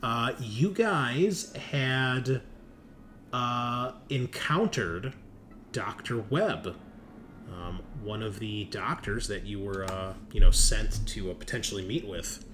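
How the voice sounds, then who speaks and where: Man, game master, low-pitched voice, halting informal recap, faint noise behind him. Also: animated, fairly bright tone. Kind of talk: recapping a tabletop roleplaying session.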